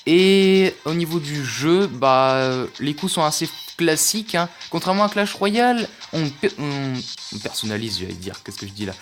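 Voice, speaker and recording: A man's voice: on the high side, animated, moderate pace. Hesitant man commenting on gameplay. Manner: hesitant, many fillers and restarts. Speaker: gaming youtuber. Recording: music playing behind. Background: game music.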